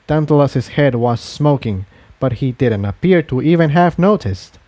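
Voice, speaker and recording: A man's voice: mid-range voice, dark tone, no room sound. A man reading an adventure story aloud as a scripted dramatic narration. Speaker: fan fiction reader and narrator.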